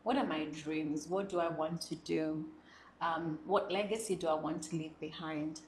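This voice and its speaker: A woman's voice: low-pitched, moderate pace, a little hiss or hum. Earnest young woman in an echoey room. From South Sudan. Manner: earnest, expressive, laughs often, animated when speaking with pride. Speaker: guest. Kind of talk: reflective interview conversation.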